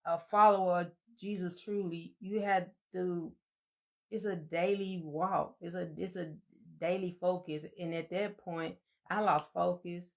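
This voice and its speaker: A soft-spoken woman, co-hosting a casual live-stream chat and telling her story in a meandering, reflective way. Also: on the low side, fairly even intonation, a little slow.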